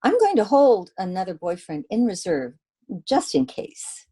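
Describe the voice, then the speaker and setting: A woman's voice: medium pitch, wildly swooping pitch, measured pace, warm tone, husky, exaggerated voice. A woman playing a detective's wry, sassy girlfriend in an old-time radio drama, with dry banter. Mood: teasing.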